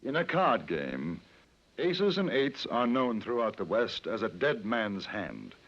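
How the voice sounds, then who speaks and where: Literate English frontier journalist, expressive pitch, muffled vintage radio, strong music behind. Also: male voice, full character voice. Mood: grave, storytelling. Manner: measured, literate. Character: urbane English newspaper reporter narrating his western journal. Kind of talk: 1950s radio drama narration. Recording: dull old radio recording, loud music.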